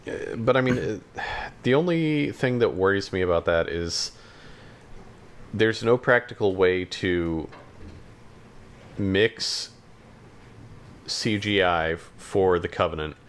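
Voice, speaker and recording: A man co-hosting a podcast in casual chat, talking in a hesitant, halting way. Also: deep voice, a bit bright. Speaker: podcast co-host.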